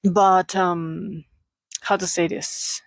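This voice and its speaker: A woman's voice: lowish voice, level tone, extremely slow, no room sound, studio quality. A woman from Chile with a Chilean Spanish accent speaks English as a second language in a casual, unscripted vlog, searching for words in a hesitant, self-deprecating way with little joking asides. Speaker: vlogger, aspiring cartoonist and illustrator. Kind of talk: casual unscripted confessional chat.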